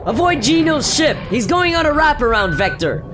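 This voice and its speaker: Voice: sits high, sing-song, normal speed, over music, voice pushed up. An actor playing a brusque, exasperated spaceship captain giving curt, bossy orders in a radio play. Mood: commanding, irritated.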